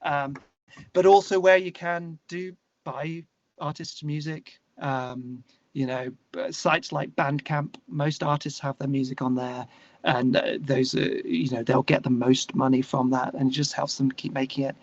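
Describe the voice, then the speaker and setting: A man's voice: medium pitch, fairly even intonation, measured pace, muffled recording. A British man talking earnestly and discursively as a musician guest in a casual interview, often restarting his phrases.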